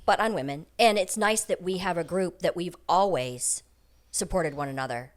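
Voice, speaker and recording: A woman's voice: on the low side, normal speed. A woman speaking as a voice actress guest in a casual panel chat, earnest and reflective, sometimes acting out her own thoughts.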